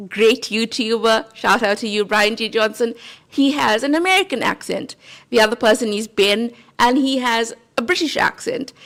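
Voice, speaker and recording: A woman's voice: fairly high pitch, a bit bright. South African woman giving YouTube advice. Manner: earnest, conversational, lots of rhetorical "right?". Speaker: YouTube creator. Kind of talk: casual talking-head advice.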